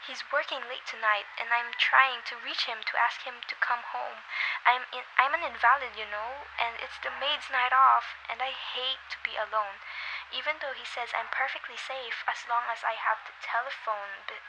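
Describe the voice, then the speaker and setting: An anxious, self-pitying invalid wife in an amateur radio play acted by a young woman. Her voice sits high and she presses her demands fretfully. The recording has clear background noise. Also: sharp tone, poor audio. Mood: plaintive, explaining. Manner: fretful, insistent. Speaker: student voice actor in a radio play. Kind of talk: amateur radio drama acting.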